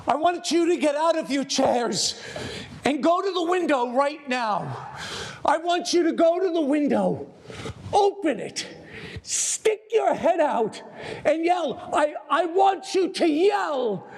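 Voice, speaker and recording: An actor playing an enraged, fed-up TV news anchor who shouts at his viewers in a dramatic monologue. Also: very high-pitched, vocal fry, heavily acted. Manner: shouting, exhorting, repeating refrains. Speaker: stage actor.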